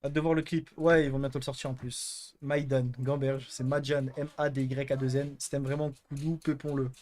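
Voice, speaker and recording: Young guy streaming live, crude teasing banter w chat, mid pitch. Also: little pitch movement, moderate pace, darkish tone. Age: young adult.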